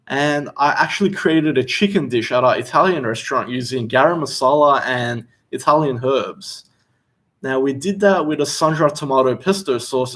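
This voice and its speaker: A young Australian man, a chef, hosting a casual livestream Q&A while seated, reading out viewer questions and answering in a relaxed, chatty way. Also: on the high side. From Western Australia. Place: seated indoors.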